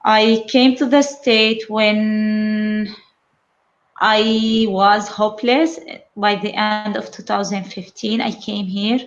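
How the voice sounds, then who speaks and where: Slow-speaking woman on a muffled call. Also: medium pitch, steady tone. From Syria. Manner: slow, careful, apologetic opening. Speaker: guest speaker. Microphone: video call. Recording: muffled video call audio.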